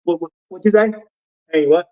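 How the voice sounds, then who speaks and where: A man's voice: sits high, normal speed, dull audio. A man from Nebraska, an interview guest, defending himself insistently and repeating his points. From United States, Nebraska. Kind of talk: interview answers.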